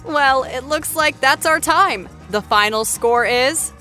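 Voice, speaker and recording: A woman's voice: sits high, takes it slowly, pushed and bright, faint music behind. A woman hosting a playful trivia game show in a bubbly, upbeat manner. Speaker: show host.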